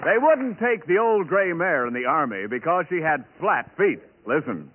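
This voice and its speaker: A male radio announcer in the 1940s manner, polished and emphatic, with a fairly high and very lively delivery. The recording is thin and tinny, like an old broadcast. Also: moderate pace, crowd noise, background noise.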